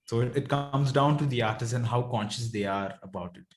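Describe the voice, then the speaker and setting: A man with a South Indian accent talks as a guest in an interview. His voice sits at a medium pitch. He is reflective and informal, often checking in with the listener as he explains. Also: a little fast. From Bengaluru, India. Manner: reflective, checks in with "you know" and "right". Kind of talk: casual interview conversation.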